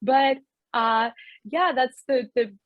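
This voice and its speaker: A woman's voice: fairly high pitch, slow pace, no echo, dull audio. Candid woman actor, dry close sound. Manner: candid, frank, with light self-aware laughs. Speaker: actor guest. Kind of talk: casual interview answers. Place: home room. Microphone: video call.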